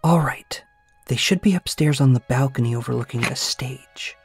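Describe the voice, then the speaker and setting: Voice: medium pitch, normal speed, creaky, husky. An actor in a theatrical audiobook playing a cheeky young male thief with a playful, conspiratorial way of talking. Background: background music.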